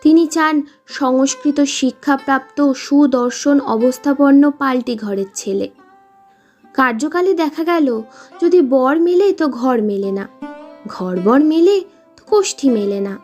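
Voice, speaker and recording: A woman reading literary fiction aloud in a measured, expressive storytelling manner. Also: sits high, faint music behind. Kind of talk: scripted literary narration.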